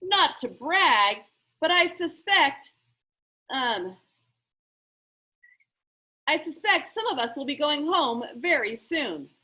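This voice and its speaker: A woman's voice: high voice, big pitch swings, takes it slowly, long pauses, pushed and bright, exaggerated voice. A woman voicing a smug, falsely polite, scheming boy in a children's storybook. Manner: smooth-talking, boastful, mock-innocent. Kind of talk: children's book read-aloud.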